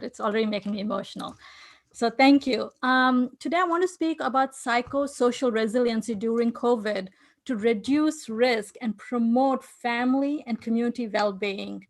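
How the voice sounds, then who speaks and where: A woman from India with an Indian accent, giving an earnest conference talk over a video call, with frequent fillers and restarts. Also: fairly high pitch. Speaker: conference speaker. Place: home in New York City.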